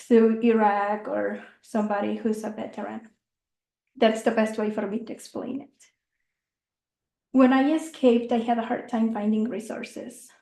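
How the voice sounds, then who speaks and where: A woman's voice: fairly high pitch, gravelly, dark tone. Earnest young woman in echoey room. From Mexico. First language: not English. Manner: earnest, halting with restarts. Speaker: speaker. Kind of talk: informational talk. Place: meeting room.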